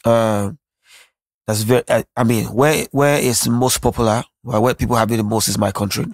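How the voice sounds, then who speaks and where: A man's voice: mid-range voice, fairly even intonation, moderate pace, dry recording. A Nigerian man whose first language is Yoruba, talking earnestly and reflectively as an interview guest, often restarting his sentences. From Nigeria (Lagos). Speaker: musician guest. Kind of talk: candid interview conversation.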